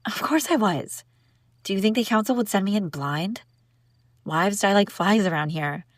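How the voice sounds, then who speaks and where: A woman playing a sharp-tongued young princess bride who is secretly lovesick, in an intimate audio roleplay, teasing and indignant with sighs and broken-off phrases. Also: medium pitch, expressive, moderate pace, no room sound, studio quality, natural voice. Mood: indignant, proud. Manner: teasing, indignant, sighing, breaking off mid-sentence. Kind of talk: intimate scripted audio roleplay addressed to listener.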